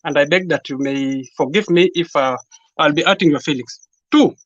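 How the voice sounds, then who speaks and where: Male debate panelist, Kenyan accent, notably high pitch, formal and emphatic, muffled dry recording. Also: normal speed, no echo. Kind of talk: political debate.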